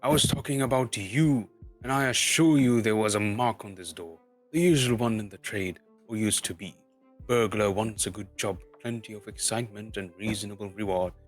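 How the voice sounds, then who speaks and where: A man voicing a gruff, businesslike dwarf adventurer in a storybook, arguing emphatically. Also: on the low side, moderate pace, faint music behind, natural voice. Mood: brusque, insistent.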